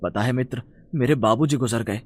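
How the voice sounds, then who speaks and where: A man's voice: mid-range voice, brisk, a little mellow, dry recording, studio quality, lightly acted. A man playing an earnest, dutiful young village son in a cartoon fairy tale, speaking emotively. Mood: troubled.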